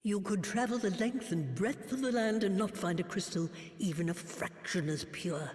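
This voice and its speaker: A woman's voice: medium pitch, moderate pace, darkish tone, natural voice. A woman playing a crotchety, worldly old sorceress in a fantasy game, dry, brusque and knowing. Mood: grave. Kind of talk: scripted fantasy game acting. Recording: echoey hall reverb.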